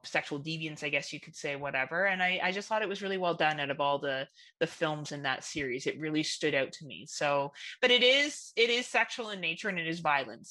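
Female podcast host, low register, forceful bright tone, frank and informal. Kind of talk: casual podcast chat.

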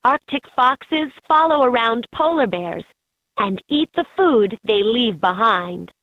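A woman reading scripted educational narration for a children's game in an expressive, instructive way. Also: fairly high pitch, slow, no echo. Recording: slightly dull, lo-fi game audio.

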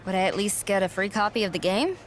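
A woman's voice: medium pitch, quick pace, slight background noise, lightly acted. An actress playing a cocky young female wrestler in a video game cutscene, speaking in a dry, teasing, confident way.

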